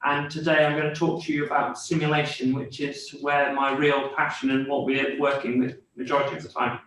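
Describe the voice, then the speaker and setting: A man's voice: mid-range voice, flat delivery, fairly quick, fairly bright tone. A man introducing himself on a video call in a friendly, fluent way. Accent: non-Norwegian, foreign-born living in Norway. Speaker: panelist. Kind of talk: self-introduction on a webinar. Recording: echoey, distant mic with faint background noise.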